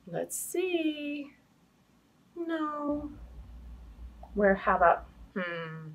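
An American woman, likely in her thirties, hosts children's storytime indoors with an expressive, sing-song delivery, speaking to young children. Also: sits high, very slow, low-quality recording. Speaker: children's library storytime host. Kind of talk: children's storytime, interactive games and picture book reading. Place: small indoor room hung with fabric drapes.

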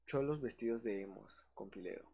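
A man's voice: on the low side, brisk, creaky, warm tone, breathy edge. A young man with a Mexican accent co-hosting a casual livestream chat, joking and slangy. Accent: Mexican Spanish. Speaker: podcast co-host.

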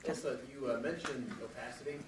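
A man in the audience of a lecture room asks a long, careful technical question. He is picked up from afar, so his voice rings with room echo. Steady background hum sits under him. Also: mid-range voice, a little fast, recorded from a distance. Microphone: distant room mic.

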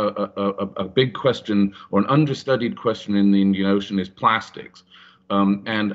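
A man speaking on a panel over a video call, halting with many restarts and fillers. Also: lowish voice, a bit bright. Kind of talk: panel discussion. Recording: muffled video call audio.

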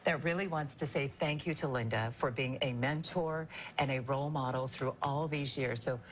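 A woman's voice: rumbling low, normal speed, slight background noise. A middle-aged woman from Taiwan, anchoring the news in a TV studio with a conversational delivery. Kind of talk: broadcast news presenting.

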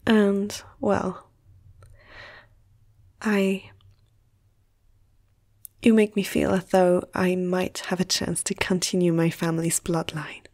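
A woman voicing a flirtatious, gentle female vampire noble in an intimate, teasing ASMR roleplay, soft and often close to a whisper. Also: lowish voice, little pitch movement, slow, long pauses. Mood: sleepy, hopeful.

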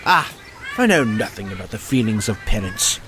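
A man's voice: on the low side, very animated, moderate pace, a bit bright, slight background noise. A man playing a pompous, self-centred water rat in an audio drama, with a haughty, dismissive manner.

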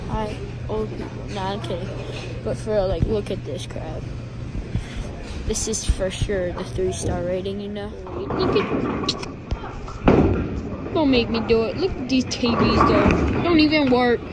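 A man's voice: high voice, a little slow, pauses often. Teen boy vlogging, hyped slang, noisy. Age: teens. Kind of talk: casual vlog chat. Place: car, then bowling alley. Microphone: phone held at arm's length.

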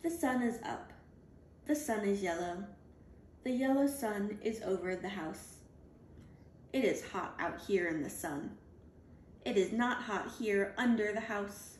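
Woman, storybook reader, high voice, deliberate spaced phrases, roomy home recording, faint noise. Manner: slow, deliberate, clearly spaced phrasing for young children. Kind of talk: reading a children's picture book aloud. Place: indoors at home, on a leather couch.

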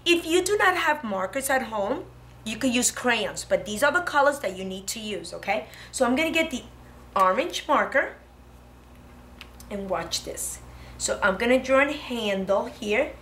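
Bright, high-voiced woman teaching preschoolers. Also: animated, normal speed. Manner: encouraging, with short phrases and frequent check-ins. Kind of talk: simple step-by-step instruction for young children. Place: indoor preschool classroom. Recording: classroom with audible background noise.

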